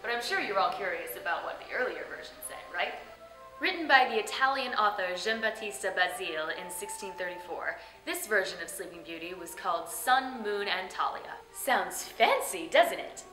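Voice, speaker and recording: Animated, bright-voiced woman hosting. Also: medium pitch, normal speed. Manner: theatrical, tongue-in-cheek. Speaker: host and storyteller. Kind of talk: scripted comic narration. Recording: roomy echo, faint music behind.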